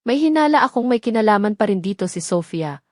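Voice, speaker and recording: A woman's voice: mid-range voice, expressive, fairly quick, a little mellow, no echo, lightly acted. Woman doing determined rich husband in audio drama, earnest, firm, reassuring, dry sound. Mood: concerned. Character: Miguel, determined protective billionaire husband.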